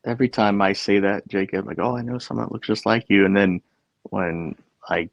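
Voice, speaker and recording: A man's voice: lowish voice, brisk, creaky, dry recording. A man co-hosting a podcast, laid-back and slangy, with lots of fillers. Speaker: podcast co-host. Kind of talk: casual chat.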